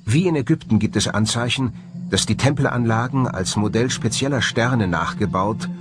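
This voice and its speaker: A man presenting a documentary in scripted narration, with measured, rhetorical, emphatic phrasing. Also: medium pitch, noisy background.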